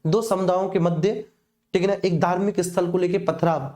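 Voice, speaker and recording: A teacher with a soft-edged, very mellow voice leads an exam-prep lesson. The delivery is explanatory, with key phrases said again and frequent checks that the students are following. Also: medium pitch, fairly even intonation, moderate pace. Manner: repeats phrases, checks understanding, addresses students as children.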